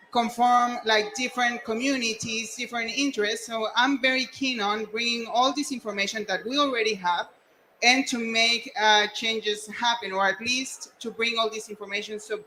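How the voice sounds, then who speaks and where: Female scientist panelist, bright and fairly low-key in pitch, earnest, word-searching, faint event noise behind. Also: poor audio. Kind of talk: spontaneous panel talk.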